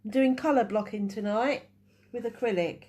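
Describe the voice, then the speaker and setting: Woman with a head cold, nail tutor, chatty live demo, slightly high voice. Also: normal speed, a little mellow. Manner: chatty, restarts while working. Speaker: nail technician teaching a live class.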